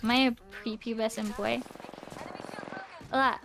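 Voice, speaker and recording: A woman with a raspy voice streaming a game, chatting casually with playful, crude banter. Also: on the high side, fairly even intonation, a little slow, background noise. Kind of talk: casual gaming chat.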